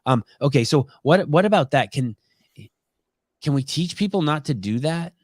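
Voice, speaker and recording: A man hosting a casual interview podcast, a native Armenian speaker, talking in a halting way with repeated phrases and restarts. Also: fairly high pitch, lively intonation, no echo. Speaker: podcast host.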